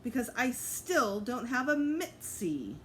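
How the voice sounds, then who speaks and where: A woman's voice: fairly high pitch, big pitch swings, slow, a bit bright, slight background noise, voice pushed up, exaggerated voice, rough recording. A woman voicing an eager, stubborn little boy in a children's book read-aloud.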